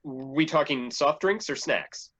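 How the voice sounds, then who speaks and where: A woman's voice: deep voice, slow, a bit bright, exaggerated voice. A woman playing an earnest, rather dim squad member in a comic stage play, heard through dull, muffled sound.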